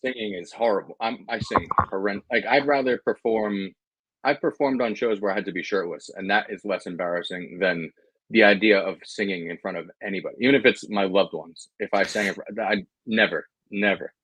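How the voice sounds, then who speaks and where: A man's voice: on the low side, a little fast, a bit bright, husky, no echo, rough recording. A man in his thirties, a guest on a casual podcast over a video call from home, talking bluntly and swearing freely. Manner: blunt, profane, emphatic. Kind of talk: casual chat. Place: home room, indoors.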